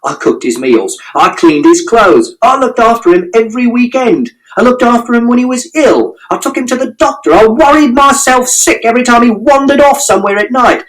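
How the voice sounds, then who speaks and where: A man voicing a furious shouting father. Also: mid-range voice, expressive, unhurried, close to an everyday voice, poor audio. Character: angry shouting middle-aged father.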